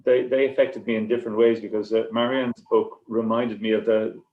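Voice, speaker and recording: Male writer on a panel, halting and repetitive delivery, rambling answers, echoey recording. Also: fairly low pitch, little pitch movement, phone-quality sound. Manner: halting, stammering, repeats words and restarts. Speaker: short story writer on a festival panel. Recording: echoey, roomy sound.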